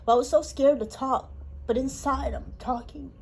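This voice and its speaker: A woman from Hawaii, telling her life story in a halting, emotional way with pauses. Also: sits high, fairly even intonation, vocal fry, darkish tone, husky. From Honolulu, Hawaii. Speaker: interviewee. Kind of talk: personal testimony.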